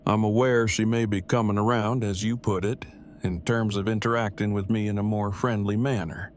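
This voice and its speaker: Man playing stiffly formal, low-voiced verbose nerd. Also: fairly even intonation, normal speed, a little hiss or hum, close to an everyday voice. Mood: formal, measured. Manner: stilted, formal, wordy phrasing. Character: verbose, self-deprecating, heartbroken nerdy man, stiffly formal. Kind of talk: audio drama acting.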